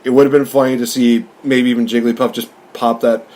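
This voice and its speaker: A man's voice: medium pitch, level tone, a little fast, darkish tone. Man casually recapping a movie. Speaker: podcast host and film reviewer. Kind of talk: casual movie review discussion.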